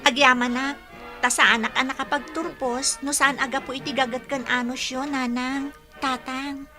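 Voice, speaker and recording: A woman's voice: sits high, little pitch movement, normal speed, natural voice. A woman with a Filipino accent playing a cheerful, grateful young graduate in a radio drama. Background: clear music.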